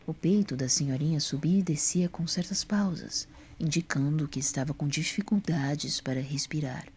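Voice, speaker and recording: A woman's voice: deep voice, normal speed, a little mellow, a little hiss or hum. A woman reading scripted story narration as the narrator of an audio drama.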